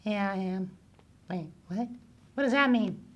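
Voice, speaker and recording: A woman's voice: medium pitch, measured pace, muffled recording, natural voice. A woman voicing a childlike, hesitant young boy puppet in a children's puppet show. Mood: glum. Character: glum, confused young boy puppet named Bobby.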